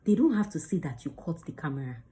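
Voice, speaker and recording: Woman acting coach, low voice, chatty and emphatic, talks straight to camera. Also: big pitch swings, fast talker, mellow. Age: adult under 35. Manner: chatty, emphatic, demonstrates lines. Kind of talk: casual teaching to camera.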